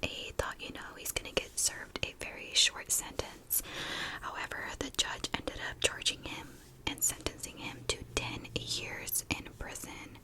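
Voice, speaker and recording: A woman telling a true crime story in a breathy ASMR whisper, with a soft, informal manner. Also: poor audio. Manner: soft, unhurried, informal narration.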